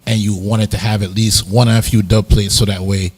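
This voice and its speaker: A man's voice: lowish voice, fairly quick, husky. A man in his forties from Tobago, chatting casually in an interview about his sound system. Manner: relaxed, laid-back storytelling with frequent fillers.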